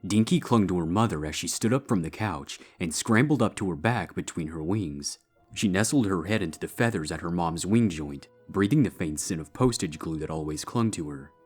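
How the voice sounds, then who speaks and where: A man's voice: low-pitched, animated, moderate pace, slightly rough. A man giving a scripted narration of a story as its narrator. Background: background music.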